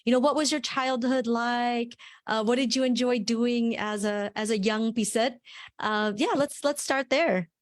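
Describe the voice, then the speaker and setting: A woman's voice: fairly high pitch, fairly even intonation, measured pace, no echo, clean studio sound. A woman hosting a podcast interview over a call, friendly and chatty, with many fillers and restarts. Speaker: podcast host. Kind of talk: casual interview conversation.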